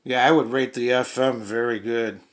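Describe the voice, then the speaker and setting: A man's voice: mid-range voice, fairly even intonation, moderate pace, a little hiss or hum. A man giving a casual, unscripted product demonstration in a hesitant, rambling, folksy way. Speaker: radio reviewer demonstrating a portable radio.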